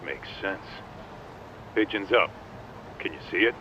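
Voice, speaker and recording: An actor playing a brash, gruff street-tough sidekick to a detective in a video game dub, blunt, informal and impatient. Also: male voice, low voice, expressive, unhurried, dull audio, noisy background. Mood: focused, wry. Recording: game audio with music underneath. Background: background music.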